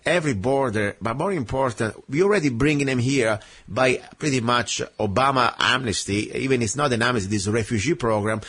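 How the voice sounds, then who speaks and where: A man's voice: medium pitch, fairly quick, hardly any pauses, fairly bright tone, slightly rough. A man from Italy with an Italian accent, hosting a political radio talk show and speaking emphatically, with many "uh"s and "you know"s. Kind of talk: opinionated political radio chat.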